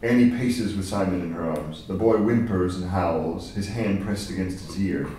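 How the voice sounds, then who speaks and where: A man's voice: low-pitched, moderate pace, mellow. A man reading screenplay stage directions as scripted narration at a table read. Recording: very echoey room, distant mic, faint noise.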